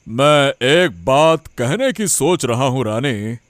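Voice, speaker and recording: A man voicing a principled, authoritative king in a cartoon, speaking in a measured, deliberate and lordly way. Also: mid-range voice, animated, no room sound, studio quality. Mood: thoughtful. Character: principled, authoritative king, firm with his queen. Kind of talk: Hindi cartoon dialogue acting.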